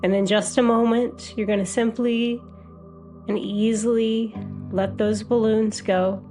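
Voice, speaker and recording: A woman guiding a meditation as a hypnotherapist, with a soothing, gentle, unhurried delivery. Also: fairly high pitch, level tone, soft background music. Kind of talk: guided meditation.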